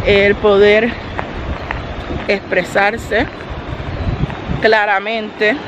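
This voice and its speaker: A woman vlogging as she walks outdoors, holding the camera herself and chatting straight to her viewers. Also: fairly high pitch. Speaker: family vlogger. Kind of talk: casual walking vlog. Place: outdoors by a road, walking. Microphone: handheld camera. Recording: noisy outdoor street audio. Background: traffic and street noise.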